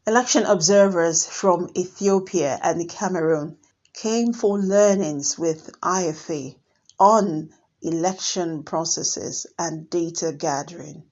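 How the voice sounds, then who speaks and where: A woman narrating a news voice-over in a deliberate manner. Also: fairly low pitch, darkish tone. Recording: dull lo-fi sound.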